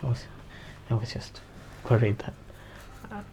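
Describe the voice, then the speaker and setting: A man's voice: medium pitch, sing-song, unhurried, vocal fry, mellow, dull audio. A man playing a timid seven-year-old servant girl in a tabletop game, meek, hesitant and apologetic. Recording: faint background noise.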